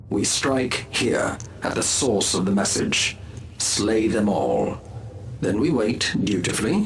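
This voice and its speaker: Cunning elf outcast, sardonic, deliberate, low-pitched, reverberant, video game cutscene. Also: male voice, a little hiss or hum. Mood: cool, scheming. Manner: mocking, theatrical, deliberate. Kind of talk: scripted video game acting.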